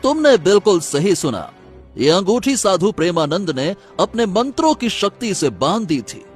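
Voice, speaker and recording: A man's voice: high voice, very animated, moderate pace, faint music behind. A man playing a cunning, menacing sorcerer in a Hindi cartoon folk tale, with a theatrical, commanding manner. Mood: smug, explaining. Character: cunning, menacing male sorcerer with magic powers. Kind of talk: dramatic Hindi cartoon story acting.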